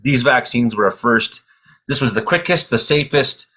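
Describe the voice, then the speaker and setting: Guy hosting a radio talk show, husky voice, folksy and opinionated, plain colloquial talk. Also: medium pitch, moderate pace, pushed and bright. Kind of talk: casual opinionated radio discussion.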